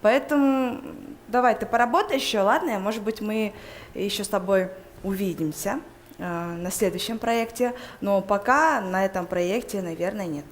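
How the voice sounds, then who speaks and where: A woman giving a talent show critique as a judge, with hesitant, deliberate phrasing. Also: medium pitch, a little hiss or hum. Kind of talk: spoken critique.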